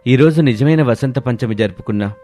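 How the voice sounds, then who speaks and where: A man plays a kindly older father-in-law in a scripted family story. He sounds approving, at a middle pitch, with music faintly audible behind him. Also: fairly quick, mellow, lightly acted.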